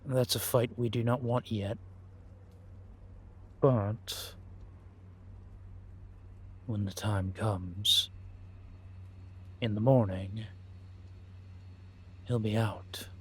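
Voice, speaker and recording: A man acts out a scheming male vampire spy in an audio roleplay. His voice is fairly low and breathy-rough, and he takes his time over every phrase. He muses theatrically, with a sly, predatory playfulness, as if confiding in a companion. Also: full character voice. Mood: watchful, scheming. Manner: theatrical, savoring, drawn-out musing with pauses. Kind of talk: scripted audio roleplay monologue addressed to a companion.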